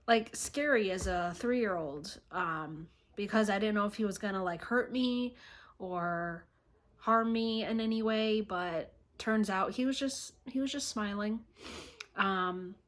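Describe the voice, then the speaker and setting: A woman vlogger telling a personal story to camera in a halting way, often pausing and clearing her throat. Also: medium pitch, a bit bright. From born in Russia, raised in the United States from age three.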